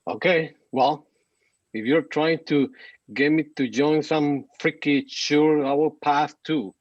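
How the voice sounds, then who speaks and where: A man's voice: a little high, fairly even intonation, slow pace. A man playing a lackadaisical, cynical army veteran in a screenplay table read, dismissive and laid-back. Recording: muffled, echoey room sound.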